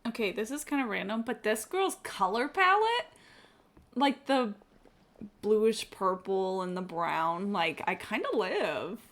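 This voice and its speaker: A woman's voice: medium pitch, lively intonation, measured pace, a bit bright. A woman livestreamer giving casual gameplay commentary, thinking aloud informally and swearing freely.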